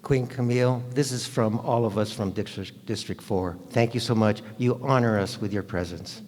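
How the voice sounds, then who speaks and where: A man's voice: on the low side, normal speed, a little mellow. Man hosting in echoey hall. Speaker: meeting host. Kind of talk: informal event hosting. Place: indoor school meeting room. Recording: echoey hall with long reverb.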